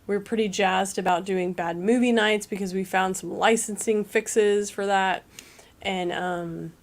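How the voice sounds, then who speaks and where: A woman's voice: medium pitch, steady tone, a little slow. A woman co-hosting a livestream, chatting upbeat and reading out viewer comments. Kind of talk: casual chat.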